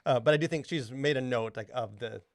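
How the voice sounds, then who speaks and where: A man hosting a casual podcast discussion, talking in a halting, rambling way full of filler words. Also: mid-range voice, no room sound, studio quality. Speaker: podcast host.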